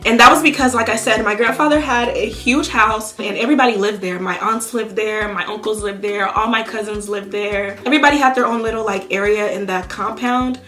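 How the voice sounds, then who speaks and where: A woman's voice: mid-range voice, steady tone, fairly quick, few pauses, fairly bright tone, faint music behind. A young woman from Nigeria chatting casually to her channel while she does her makeup, sassy and full of slang. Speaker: YouTuber. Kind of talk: casual storytime chat while doing makeup.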